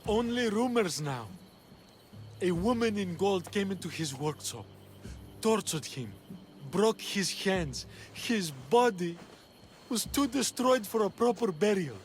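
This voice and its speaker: An actor playing a weary, frightened traveler of ancient Greece in a video game, earnest and grateful. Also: male voice, sits high, lively intonation, slow pace, slight background noise. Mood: relieved, grieving. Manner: earnest, pleading. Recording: music behind the voice.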